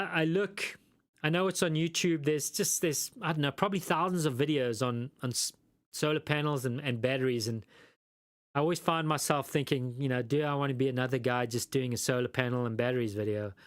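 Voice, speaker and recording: South African male host, smooth resonant voice, rambling and self-deprecating live chat. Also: medium pitch, normal speed, no echo, clean studio sound. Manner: rambling, self-deprecating, lots of ums and restarts. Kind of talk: casual live chat reading viewer comments.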